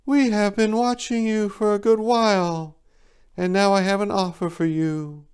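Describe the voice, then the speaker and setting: A man voicing a huge golden female bird, calm and serious, in a dramatic short-story reading. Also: sits high, measured pace, clean tone, pitched above the performer's own voice. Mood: calm, solemn offer. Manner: calm, solemn.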